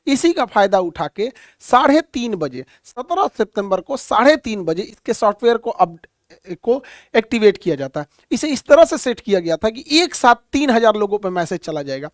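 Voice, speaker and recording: A man's voice: sits high, sing-song, moderate pace, dry recording. Man lecturing, dry close sound. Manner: colloquial, storytelling, repeats key phrases for emphasis. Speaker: teacher. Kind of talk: explanatory current-affairs lecture.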